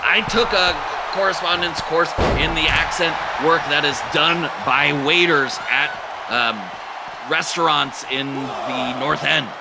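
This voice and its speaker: A man doing comedic live play-by-play commentary for a wrestling match, rambling through jokes with lots of fillers and bursting into excited calls. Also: a little high, a little slow, vocal fry. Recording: loud crowd and noise behind.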